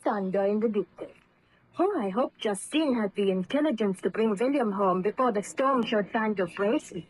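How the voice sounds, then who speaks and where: An actress playing an anxious, devoted wife in an old radio horror drama, earnest and theatrical with formal diction. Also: female voice, medium pitch, normal speed, slightly rough, lightly acted, poor audio. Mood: soothing, concerned. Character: anxious, devoted wife, frightened but soothing.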